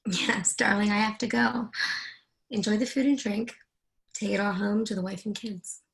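A woman's voice: medium pitch, steady tone, moderate pace, vocal fry, close to an everyday voice, poor audio. A woman playing a seductive, controlling married woman in a screenplay read, teasing and commanding by turns. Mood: imperious, dismissive.

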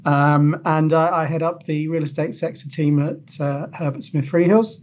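A man's voice: a little high, normal speed, lo-fi sound. A man in his forties, a podcast guest, speaking modestly with some hesitations. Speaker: law firm partner, guest. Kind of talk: podcast discussion.

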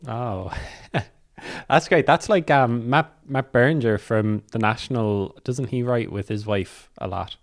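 Male music interviewer, mid-pitched voice, casual conversational questioning. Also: a little slow.

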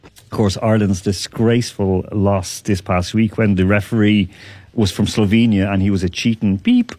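A man hosting a radio quiz, teasing contestants, laughing often and repeating questions slowly. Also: on the low side, fairly even intonation, darkish tone, no room sound. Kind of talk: lively radio quiz banter.

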